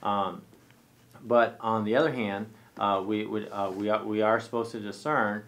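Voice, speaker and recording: A man in his forties co-hosting a studio Bible discussion, explaining his points in a measured way. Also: fairly low pitch, level tone, a bit bright. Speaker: co-host. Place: small studio set with armchairs.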